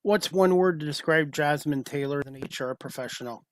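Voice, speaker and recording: A man's voice: fairly high pitch, fairly quick, no room sound, poor audio. A man hosting a talk show, asking his guest straightforward, open questions. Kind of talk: interview questions.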